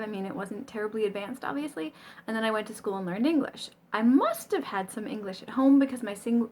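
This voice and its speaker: A middle-aged American woman chatting casually to camera about learning Chinese, rambling and self-deprecating, with frequent ums and little laughs. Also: medium pitch. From United States.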